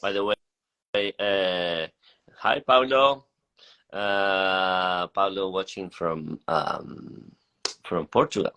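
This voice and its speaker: A man hosts a relaxed, conversational interview in a fairly low voice with a bright, forward edge. He hesitates often, repeating and rephrasing words as he works toward each question. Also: extremely slow, low-quality recording. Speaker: interview host, festival artistic director. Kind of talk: casual interview.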